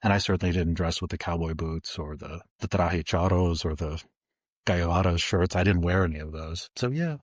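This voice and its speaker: A man in his forties, co-hosting a podcast, talking reflectively and haltingly with many fillers and restarts. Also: sits low, dry recording, clean studio sound. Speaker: podcast co-host.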